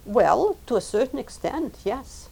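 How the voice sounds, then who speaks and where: A woman whose first language is Lithuanian, a professor and translator, talking in a measured, thoughtful way and repeating words as she thinks. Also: on the high side, big pitch swings, gravelly, a little mellow, breathy edge, rough recording. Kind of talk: recorded oral history interview.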